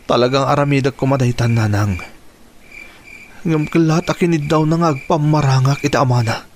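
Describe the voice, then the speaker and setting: A man with an Ilocano accent playing a guilty, hesitant young lover in a radio drama, halting and earnest. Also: medium pitch, darkish tone, a little hiss or hum, lightly acted. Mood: troubled, confiding.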